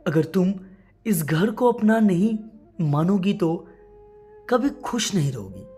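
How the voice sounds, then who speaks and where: A woman as a grave, slow-speaking mother-in-law. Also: lowish voice, very animated, warm tone, faint music behind. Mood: earnest admonishing. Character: worried, suspicious elderly mother-in-law, protective wife.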